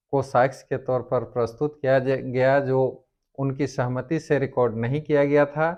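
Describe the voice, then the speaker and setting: A man giving a formal explanatory lecture on law, speaking deliberately in short, halting phrases as a presenter. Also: mid-range voice, a little mellow, clear, resonant tone, lo-fi sound.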